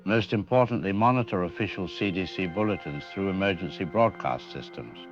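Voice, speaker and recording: A man playing a frank, grave disease-control doctor giving a measured, clinical briefing in an audio drama. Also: low voice, natural voice. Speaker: expert interviewed on radio. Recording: dull lo-fi radio sound, music behind.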